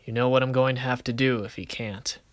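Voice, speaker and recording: A man's voice: mid-range voice, fairly even intonation, a little fast, no room sound, natural voice. A man voicing a confident, cocky but good-natured young card duelist in casual, swaggering banter for an amateur anime-style series. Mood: grave, scheming.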